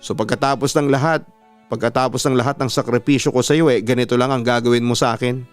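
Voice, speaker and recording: A man's voice: medium pitch, fairly even intonation, quick pace, clean tone, faint music behind, close to an everyday voice. A man voicing a heartbroken, accusing young woman. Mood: tearful, angry. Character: heartbroken twenty-year-old woman, bitter, accusing, pleading.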